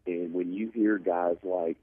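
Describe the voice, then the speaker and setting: A man's voice: low-pitched, steady tone, measured pace, dry recording. A man giving emphatic sports analysis as an interview guest, often repeating a point to drive it home. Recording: narrow phone-like line.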